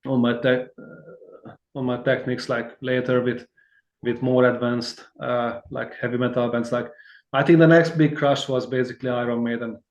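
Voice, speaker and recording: A man's voice: medium pitch, little pitch movement, normal speed, echoey room. A man from Hungary, speaking, talks in a rambling, friendly way as a guitarist guest in a casual interview. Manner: rambling and friendly, many fillers and restarts, laughs easily.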